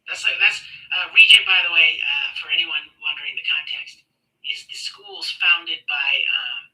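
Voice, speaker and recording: A man's voice: on the high side, very animated, moderate pace, bright, forceful tone. Sarcastic man on a distant call. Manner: sarcastic, mocking, rambling with fillers. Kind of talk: casual heated online debate.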